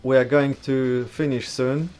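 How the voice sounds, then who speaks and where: A man chats casually with viewers on a live stream, reading their comments aloud and answering them one by one. His voice sits at an ordinary middle pitch, and he talks in a relaxed, easygoing way with frank, blunt opinions. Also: measured pace, clear, resonant tone, soft background music. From Poland. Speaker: livestream host.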